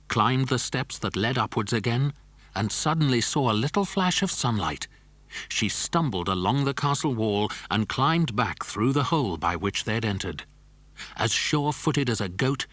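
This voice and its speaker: A man's voice: mid-range voice, fairly bright tone, dry recording. A man narrating a children's adventure story from a script, as in an audiobook dramatisation.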